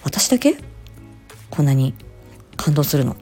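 A woman speech therapist telling stories in a casual, expressive solo chat, acting out short quotes as she explains. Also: deep voice, measured pace, faint music behind. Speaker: speech-language therapist hosting an audio diary.

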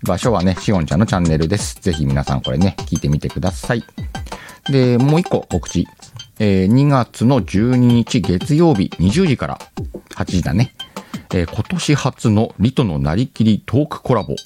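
Male host, very low register with animated intonation, informal self-mocking banter while reading letters over a clear music bed. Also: moderate pace. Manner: laughs often, talks to himself, self-deprecating banter. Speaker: host of an audio show. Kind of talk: casual chatty solo radio talk, reading listener letters.

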